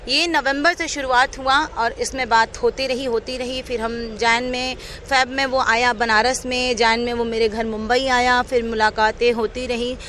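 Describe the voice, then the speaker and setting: A woman's voice: fairly high pitch, steady tone, measured pace, hardly any pauses, bright, forceful tone, a little hiss or hum. A woman giving an unscripted, earnest and detailed personal account as an interviewee. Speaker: interviewee telling her own account.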